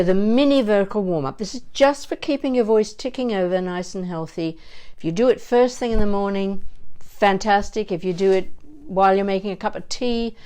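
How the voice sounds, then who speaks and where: A woman teaching vocal warm-up exercises in an expressive, encouraging manner, as a voice coach would. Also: medium pitch. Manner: expressive, encouraging, demonstrates with sighs and playful asides. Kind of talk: instructional warm-up demonstration.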